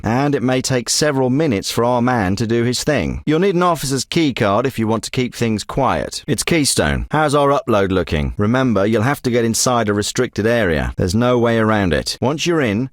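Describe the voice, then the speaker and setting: A man's voice: medium pitch, lively intonation, normal speed, dry recording, natural voice. A man voicing a middle-aged special forces handler in a video game, giving terse, businesslike briefings. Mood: urgent. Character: clipped, steady male military handler, middle-aged. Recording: dry close studio recording.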